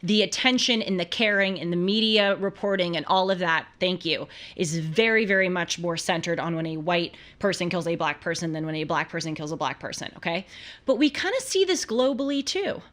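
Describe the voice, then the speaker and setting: A woman's voice: on the low side, brisk, fairly bright tone. An American woman hosting a talk show, giving conversational political commentary in an earnest, emphatic way full of rhetorical questions.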